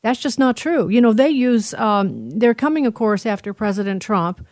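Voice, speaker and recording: A woman hosting talk radio with unscripted commentary in a halting, self-repeating, deliberate manner. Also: a little high, expressive, a little mellow, dry recording, studio quality. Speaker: radio talk show host.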